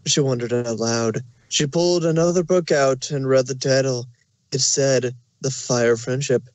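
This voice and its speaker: A man narrates scripted fan fiction in a medium-pitched voice. The sound is dry and close, with no room around it. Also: fairly even intonation, moderate pace, a little mellow, no echo.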